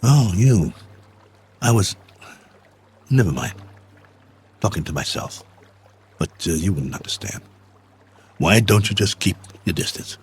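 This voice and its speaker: Stranded spaceman, low voice, animated inflection, halting, gently welcoming, radio drama. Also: husky, heavily acted. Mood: wary, muttering. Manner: halting, musing, talks to himself. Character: stranded male space traveler, weary, hopeful, gently welcoming.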